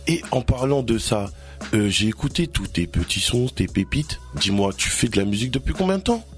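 A man hosting a casual interview, enthusiastic and full of quick affirmations. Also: medium pitch, big pitch swings, breathy edge. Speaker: host.

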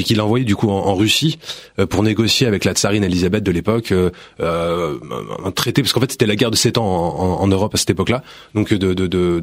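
Fast-talking low-voiced male playwright. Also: no echo, studio quality. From Burgundy, France. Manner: fast, many repetitions and restarts. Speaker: playwright and director, guest. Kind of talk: radio interview. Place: radio studio.

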